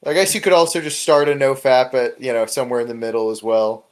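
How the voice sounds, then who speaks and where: A man's voice: mid-range voice, steady tone, quick pace. A man hosting a casual podcast, talking in a loose, rambling way with chuckles. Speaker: podcast host.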